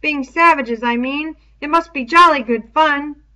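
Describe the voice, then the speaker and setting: Storybook boy follower played by a woman: plaintive, eager, raised pitch, bright tone. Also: a little slow, full character voice. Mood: eager, complaining. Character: wavering schoolboy eager to join the fun. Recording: dull, muffled lo-fi home recording.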